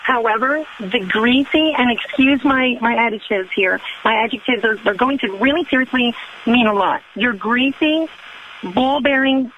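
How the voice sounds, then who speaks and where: Woman putting on a brash high-voiced complaining caller, muffled line. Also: normal speed, slight background noise, lightly acted. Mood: indignant, pushy. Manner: rambling, interrupting, pushy, calls listener sweetheart. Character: brash, indignant, flirty woman lodging a complaint. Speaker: prank caller. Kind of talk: improvised prank phone call. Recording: dull lo-fi phone line.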